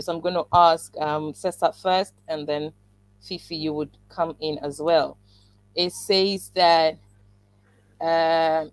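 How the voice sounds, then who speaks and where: A woman hosts a relationship talk show, her voice sitting on the lower side and sounding dry and close. She gives reflective advice and asks careful questions. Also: no echo. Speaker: host. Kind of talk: interview chat.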